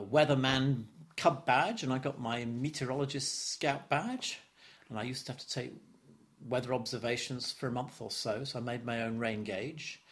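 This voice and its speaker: A man in his seventies from London, giving an oral history interview, reflective and stop-start, repeating words and chuckling as he remembers. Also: mid-range voice. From London, England. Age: 70s.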